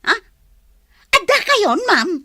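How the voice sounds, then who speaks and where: Shrill, giggly Ilocano household helper. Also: female voice, very animated, slow pace, pushed and bright, dry recording, studio quality, heavily acted. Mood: nervous, amused. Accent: Filipino, Ilocano. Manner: hesitant and giggly, exclaiming.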